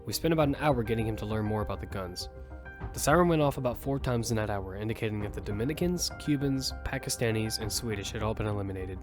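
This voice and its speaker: A man narrating an audio drama in first person as its lead, telling the story with quick urgency over music. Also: on the low side, natural voice.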